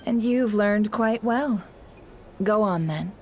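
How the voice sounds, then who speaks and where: A woman playing a shrewd, teasing creditor and intel dealer in a video game, sly and coolly unhurried. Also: mid-range voice, a little hiss or hum, lightly acted.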